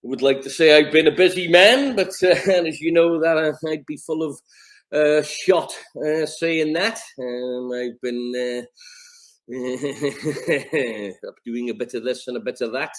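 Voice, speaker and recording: Slow, wry North Welsh vlogger. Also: male voice, fairly high pitch. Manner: wry, rambling, hesitant. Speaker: YouTuber. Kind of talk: vlog to camera.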